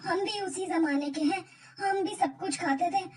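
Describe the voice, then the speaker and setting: A woman's voice: very high voice, moderate pace. A woman voicing a feisty, scolding old woman in a cartoon story. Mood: indignant, scolding. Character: feisty old woman defending her generation. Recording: distant mic, faint background noise.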